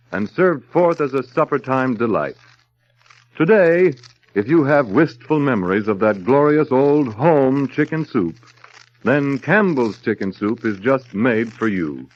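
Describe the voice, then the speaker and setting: This is a muffled vintage radio recording of a male announcer. His mid-pitched voice delivers a sponsor's message with formal polish. The read is measured, nostalgic and florid, in classic 1930s advertising style.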